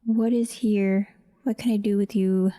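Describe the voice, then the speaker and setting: Mellow woman muttering as she works. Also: mid-range voice, steady tone, a little slow. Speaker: voice actor, audio creator. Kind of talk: casual behind-the-scenes commentary while editing audio.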